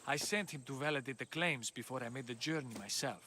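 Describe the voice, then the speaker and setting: A man's voice: medium pitch, quick pace, sharp tone, slight background noise, close to an everyday voice. A man playing a courteous, worried scholar of ancient Greece in a video game, speaking politely and earnestly. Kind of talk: video game cutscene acting.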